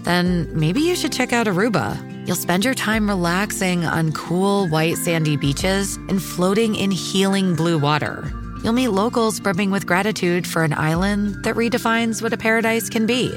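A woman's voice: medium pitch, moderate pace, over music. A woman giving a soothing scripted voice-over for a travel commercial. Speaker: advertising voice-over.

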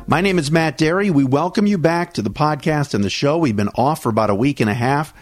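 A man's voice: on the high side, a little fast, soft background music. A man, hosting a solo sports podcast in a chatty, conversational way, back on air after vocal cord surgery. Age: 40s. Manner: chatty, conversational, with frequent "uh" fillers. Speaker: podcast host.

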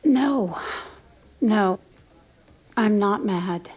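Slow-paced, guarded woman. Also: medium pitch, animated, a little mellow, breathy edge, full character voice. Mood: subdued, troubled. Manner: measured, hesitant, understated. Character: reserved, guarded married woman, uneasy among gossiping friends. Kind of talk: naturalistic audio drama dialogue. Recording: music playing behind the voice.

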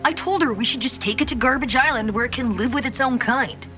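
A woman's voice: a little high, quick pace, bright, forceful tone, faint music behind. A woman playing a brash, touchy female robot in an audio comedy drama, blunt, defensive and sardonic. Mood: exasperated.

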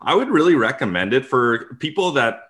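A man hosting a podcast, asking questions in a relaxed, chatty way. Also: medium pitch, normal speed. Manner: chatty, casual fan talk. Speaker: podcast host. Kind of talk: casual podcast interview and read ad.

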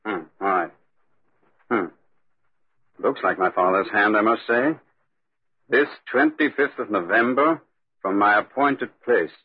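A man playing a stern laird, curt, suspicious and husky, in 1940s radio style. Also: lowish voice, unhurried, long pauses. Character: stern, sour young laird demanding his rent. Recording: muffled 1940s radio recording.

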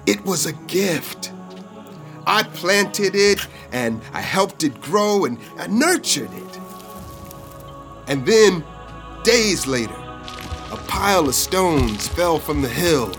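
A man's voice: high voice, very animated, slow, pauses often, fairly bright tone, soft background music, exaggerated voice. An actor playing Otis, a cheerful, talkative man who calls himself a prophet, in an audio drama. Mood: storytelling. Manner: upbeat, chatty, storytelling. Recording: long hall reverb.

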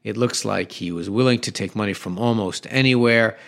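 A man's voice: on the low side, a little fast, gravelly. A man hosting a talk radio show, with a conversational, emphatic delivery that often restarts mid-sentence. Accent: New York. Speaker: radio host.

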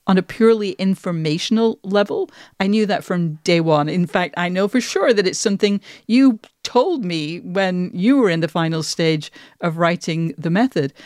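A woman's voice: on the low side, darkish tone, no room sound. Thoughtful woman podcast host, dry close sound. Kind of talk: reflective conversational discussion.

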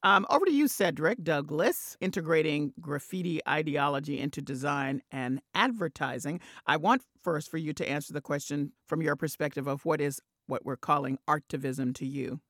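A man's voice: a little high, normal speed, few pauses, a bit bright, no room sound, clean studio sound. A man hosting an interview, introducing guests and praising their work with enthusiasm. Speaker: interview host.

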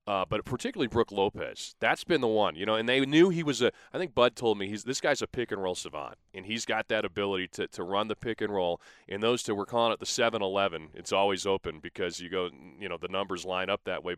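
A man with a fairly low, smooth voice talks as a sports announcer guesting on a podcast. His delivery is casual and colloquial, full of anecdote, and the recording is dry and close. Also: fairly bright tone, no echo. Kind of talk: casual interview commentary.

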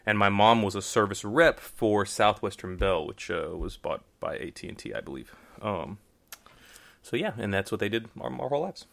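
A young Texan man with a low voice and a bright, forceful edge to it. He talks in a loose, rambling way, full of fillers and restarts. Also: expressive, moderate pace, vocal fry. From Texas, USA. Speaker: magician guest. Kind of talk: casual interview chat.

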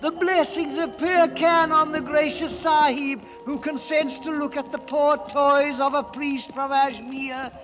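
An actor playing a bold adventurer disguised as a mad priest in an old radio drama, with a theatrical, flattering manner. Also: male voice, extremely high pitch, unhurried, few pauses, soft background music. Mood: wheedling. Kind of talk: 1940s radio acting.

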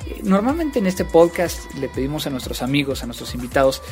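A man's voice: on the high side, sing-song, fairly quick, soft background music. A man hosting an interview podcast in a conversational style.

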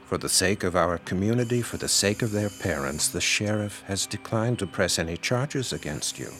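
An American actor playing a middle-aged, paternal, insinuating pastor in an audio drama, measured and preachy. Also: male voice, low-pitched, animated, fairly bright tone. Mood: stern, measured. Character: paternal, insinuating small-town pastor with grown daughters.

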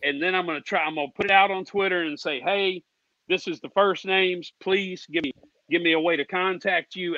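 A man's voice: a little high, pushed and bright, dry recording, lo-fi sound. An American man, a guest on a racing fan show, ranting in a combative, indignant, folksy way with plenty of swearing. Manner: folksy, with "ain't" and "y'all". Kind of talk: heated ranting.

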